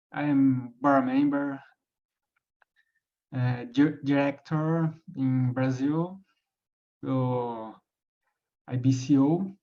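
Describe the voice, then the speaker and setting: A man giving a short, halting self-introduction as a board member on a video call. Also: medium pitch, dull audio. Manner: halting, brief. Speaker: board member of a national institute.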